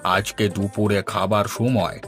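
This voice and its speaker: A man's voice: fairly low pitch, level tone, a little slow, fairly bright tone. A man playing a genial, hospitable older village father-in-law in a cartoon folk tale, speaking in an indulgent, coaxing way. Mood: indulgent, encouraging. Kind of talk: Bengali animated folk-tale dialogue. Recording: music under the voice. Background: music.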